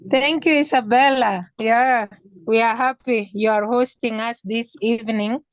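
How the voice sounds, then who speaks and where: A woman from Kenya hosting an online webinar, speaking in a measured way with pauses and fillers. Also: on the high side, steady tone, no echo. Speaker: webinar host. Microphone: video call. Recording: dull, lo-fi video call audio.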